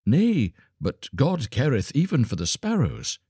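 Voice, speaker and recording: A man's voice: mid-range voice, a little slow, breathy edge, dry recording, clean studio sound, pitched above the performer's own voice, heavily acted. A man voicing a poor, kind-hearted woodcutter who speaks earnestly and firmly. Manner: earnest, steady.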